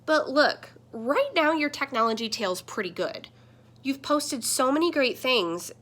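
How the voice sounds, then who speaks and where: A woman's voice: high-pitched, animated, measured pace, fairly bright tone, pitched above the performer's own voice, heavily acted. A woman voicing a talking computer screen in a children's picture book, a protective mentor who admonishes and instructs emphatically. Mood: encouraging.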